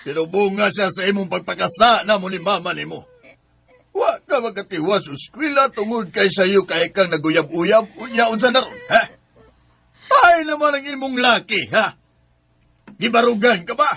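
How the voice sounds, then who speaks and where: A man with a Cebuano accent playing a stern, resentful grandfather in a radio drama, scolding in a gravelly, husky voice. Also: high-pitched, lively intonation, normal speed, bright, forceful tone, full character voice. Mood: angry, reproachful. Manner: scolding, interrogating. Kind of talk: Bisaya radio drama acting.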